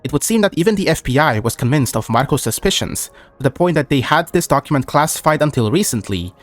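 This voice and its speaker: Male narrator, slightly high voice, measured scripted read, soft music bed. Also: lively intonation. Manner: measured, reads document quotes aloud.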